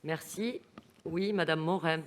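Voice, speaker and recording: A woman's voice: sits low, measured pace. A woman chairing a council meeting, making short procedural remarks to hand over and introduce items.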